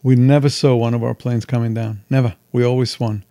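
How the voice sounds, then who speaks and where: A man's voice: mid-range voice, level tone, fairly quick, mellow. A man in his sixties from Israel, telling stories candidly and at length as an interview guest. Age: 60s. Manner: expansive, candid storyteller. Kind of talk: casual interview chat.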